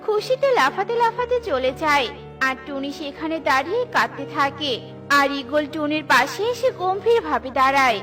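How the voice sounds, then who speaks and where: Female storyteller for children's cartoons: very high voice, scripted narration, music clearly underneath. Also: very animated, moderate pace, fairly bright tone.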